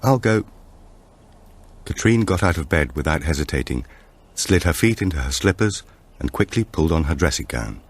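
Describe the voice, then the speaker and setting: A man narrates a thriller audiobook in a very deep voice. His delivery is measured and dramatic, with pitch that moves expressively across the sentences. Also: sing-song, breathy edge.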